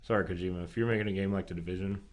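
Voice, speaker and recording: A man's voice: deep voice, steady tone, racing. A man co-hosting a podcast, chatting casually and with slangy opinions about entertainment news. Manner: slangy, opinionated, says "dude". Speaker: podcast co-host. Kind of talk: casual chat about movie and game news.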